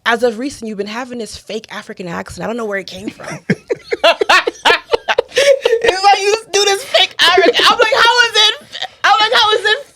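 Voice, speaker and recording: A woman with a Nigerian-American accent chatting casually as a guest, animated and laughing often, repeating words as she hunts for the next one. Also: extremely high pitch, moderate pace. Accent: Nigerian-American, switching between Nigerian and American. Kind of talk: casual chat.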